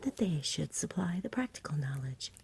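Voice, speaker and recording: A woman giving a soft-spoken, breathy, whispered ASMR reading of a bedtime story in a gentle storybook manner. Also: low voice, big pitch swings, normal speed, slight background noise.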